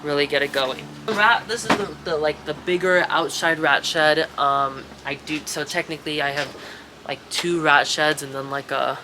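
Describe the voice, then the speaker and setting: A man's voice: a little high, fairly even intonation, moderate pace, background noise. A congested young man rambling casually and swearing as he shows off his rat shed to viewers. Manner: rambling, profane, chatty with viewers. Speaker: reptile and rat breeder vlogger. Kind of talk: casual show-and-tell vlog. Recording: echoey shed room.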